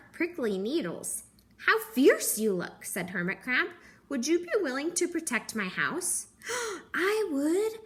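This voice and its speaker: A young woman, a teacher, reading a picture book aloud to children at home in a gentle, animated storytelling manner. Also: high voice. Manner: gentle, animated storytelling with gasps and character voices. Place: living room at home.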